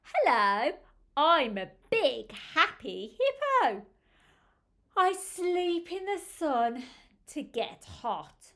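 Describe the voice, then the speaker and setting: A woman voicing a big, happy, lazy hippo from a children's storybook, with a drawn-out sing-song delivery. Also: sits high, big pitch swings, pushed and bright, pitched above the performer's own voice, heavily acted. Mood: happy, contented.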